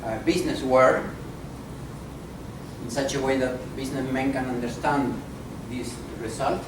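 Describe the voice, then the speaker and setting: A man's voice: mid-range voice, steady tone, background noise. Male professor, far in an echoey room. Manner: deliberate, halting, many fillers. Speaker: visiting professor and speaker. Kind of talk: academic conference lecture. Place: conference room.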